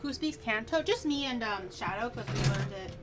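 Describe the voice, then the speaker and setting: A woman's voice: on the high side, expressive, normal speed, noisy background. A woman whose first language is Mandarin, chatting and giggling in a playful way while cooking on a casual livestream. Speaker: streamer. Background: background music.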